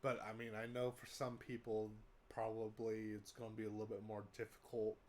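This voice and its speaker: A man's voice: lowish voice, monotone, fairly quick, darkish tone, slight background noise. A young American man with a cold, sounding congested, giving a casual rambling update to his viewers with lots of fillers and restarts. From United States. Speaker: vlogger. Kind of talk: casual update talk to camera.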